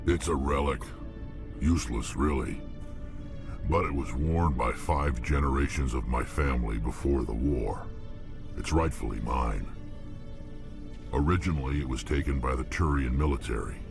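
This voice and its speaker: An actor playing a hardened, blunt male alien mercenary warrior with a gruff, world-weary manner, in a video game. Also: a bass voice, normal speed, pauses often. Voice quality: gravelly. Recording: game audio with music behind.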